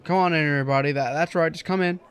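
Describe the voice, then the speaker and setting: An actor playing an earnest, flustered, stubborn young small-town man in a radio drama, with a halting, stammering delivery. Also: fairly high pitch, fairly even intonation, fairly bright tone, clear, resonant tone, natural voice. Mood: anxious, placating. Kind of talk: old-fashioned radio drama acting.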